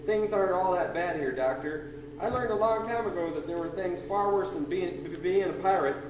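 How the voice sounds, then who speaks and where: A man playing a folksy, resigned pig ensign on a pirate ship, stuttering and halting, in old-time radio comedy style. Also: high-pitched, close to an everyday voice. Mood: wistful, resigned. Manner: folksy, halting, eager to comply. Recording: noisy, echoey old tape, distant mic.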